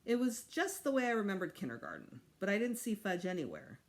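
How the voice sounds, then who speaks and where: Female teacher, expressive children's read-aloud, very varied intonation. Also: mid-range voice, brisk. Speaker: teacher reading aloud to students.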